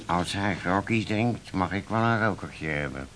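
A man's voice: low voice, lively intonation, measured pace, noisy background. A man acting in a radio play as an officious, self-important bookkeeper with a fussy, pompous manner. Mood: sly, muttering.

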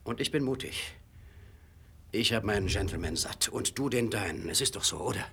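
An actor playing a brash, infatuated rogue in a radio drama, coaxing and boasting with intense devotion. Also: male voice, lowish voice, animated, normal speed, creaky, fairly bright tone, breathy edge, full character voice. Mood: ardent, coaxing. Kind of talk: German radio drama acting.

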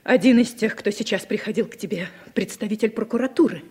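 An actress playing an anxious, insistent wife in a 1960s Soviet radio drama, earnest and pressing in manner. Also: female voice, medium pitch, fairly quick, darkish tone, husky, natural voice. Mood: urgent, demanding. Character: anxious, insistent woman confronting her husband.

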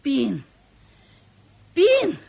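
A woman's voice: sits high, wildly swooping pitch, creaky, a little mellow, full character voice. A woman playing a shrewish, domineering and vengeful witch in a radio drama, nagging and taunting in Hong Kong Cantonese. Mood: spell-casting, frenzied. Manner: nagging, taunting, drawn-out emphatic delivery. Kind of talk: theatrical radio drama acting.

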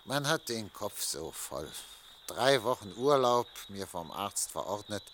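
Middle-aged dreamer, lowish forceful voice, weary and theatrical, radio play with slight noise. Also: male voice, very animated, measured pace. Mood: weary, agitated. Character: weary, wistful male official lost in memories. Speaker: actor. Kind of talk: German radio drama acting.

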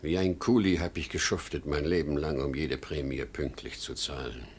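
A man's voice: rumbling low, steady tone, moderate pace, a little hiss or hum. An actor playing a desperate, worn-out salesman in his sixties, urgent and pleading, in 1950s German radio drama style. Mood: fervent. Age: older. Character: desperate worn-out 63-year-old salesman plotting his death.

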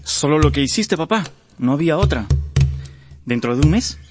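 An actor playing an earnest, supportive young son in a radio drama. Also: male voice, a little high, lively intonation, moderate pace, over music, close to an everyday voice. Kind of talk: Spanish-language radio drama acting.

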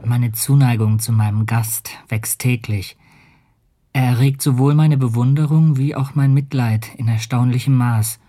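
An actor playing an earnest, ambitious polar explorer and ship's captain in a radio drama, speaking and narrating in a heartfelt, literary way. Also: male voice, medium pitch, steady tone, a little slow, dark tone, close to an everyday voice. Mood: reflective, tender.

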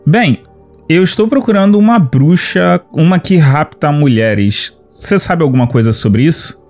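A man's voice: fairly high pitch, animated, normal speed, darkish tone, faint music behind. A man playing a kindly, curious warrior adventurer in a fantasy audio drama, with a friendly and courteous manner. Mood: kind, earnest.

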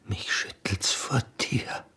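An actor playing a tormented, honour-bound young border guard in a radio drama, with a husky, breathy voice and an Austrian accent. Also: male voice, slow, sharp tone, whispered. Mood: shaken, pleading. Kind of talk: intense radio drama acting in rural dialect.

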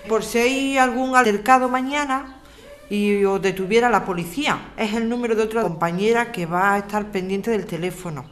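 A woman playing a serious activist giving measured instructions to neighbours in an audio drama. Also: medium pitch, natural voice. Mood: serious, instructive. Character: serious activist briefing neighbours on legal precautions. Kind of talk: scripted amateur radio drama. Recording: faint ambient background noise. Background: faint ambience.

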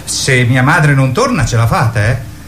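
An actor playing a timid, simple-minded boy in a radio drama, halting, stammering and literal. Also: mid-range voice, expressive, a bit bright, a little hiss or hum. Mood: earnest. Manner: halting, stammering, repeats himself.

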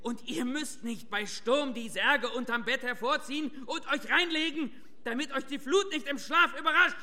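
An actor playing a defiant, driven dike master who addresses villagers in emphatic, clipped declarations in a radio drama. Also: male voice, extremely high pitch, normal speed, sharp tone, full character voice. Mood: impassioned.

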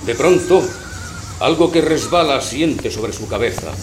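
A man's voice: medium pitch, lively intonation. A man narrating a comic verse tale in a dramatic, theatrical, declamatory manner. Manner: dramatic, declamatory, drawn-out phrasing. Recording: roomy echo, loud background noise.

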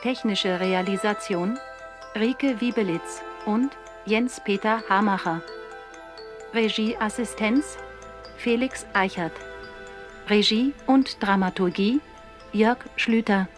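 A woman reading scripted radio drama credits as an announcer, measured and formal. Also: medium pitch, over music.

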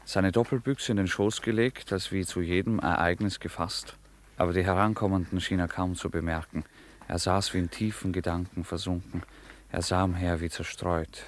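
A man narrating a literary radio play in a measured, formal way. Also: sits low, fairly even intonation, slight background noise, natural voice.

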